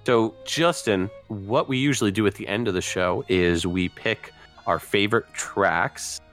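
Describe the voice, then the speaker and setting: A man's voice: fairly low pitch, a little slow, fairly bright tone, soft background music. A man from Connecticut co-hosting a podcast, upbeat and joking, saying "like" a lot. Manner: upbeat, jokey, "like" often. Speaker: podcast co-host. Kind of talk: casual podcast chat.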